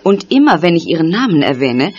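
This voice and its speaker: A woman's voice: fairly low pitch, very animated, a little fast, faint music behind. An actress playing a worried, polite mother in a German audio drama. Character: worried mother receiving investigators. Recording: dull band-limited audio.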